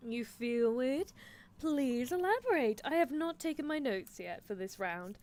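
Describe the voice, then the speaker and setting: A woman's voice: high-pitched, very animated, measured pace, darkish tone. A woman streaming an improvised ASMR roleplay as a scheming, possessive anime mad scientist, muttering in theatrical exasperation. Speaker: streamer performing character roleplay.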